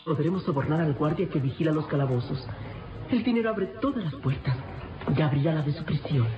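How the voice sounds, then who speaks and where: A woman's voice: low voice, lively intonation, brisk, over music. Worried radio drama lady, imploring, dramatic, muffled echoey audio. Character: worried, devoted young lady companion of the hero. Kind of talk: radionovela acting.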